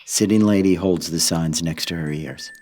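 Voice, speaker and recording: A man's voice: low voice, normal speed, a little mellow, lightly acted. A man playing a detached narrator who reads out stage directions in a radio play.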